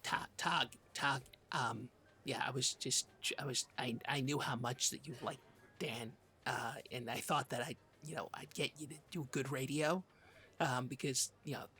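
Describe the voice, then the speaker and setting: A man playing an anxious, blunt, bossy small-town radio host in improvised comedy, with a sharp husky voice and halting, insistent stammering. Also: mid-range voice, fairly even intonation, slight background noise, close to an everyday voice. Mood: sheepish, needling. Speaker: podcast co-host.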